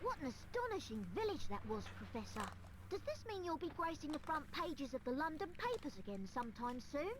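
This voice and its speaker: Curious little boy sidekick in a game, high bouncy voice, noisy background. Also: sing-song, normal speed. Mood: eager. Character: young boy apprentice, eager, curious and admiring. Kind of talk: scripted video game cutscene dialogue. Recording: game audio with clear background noise.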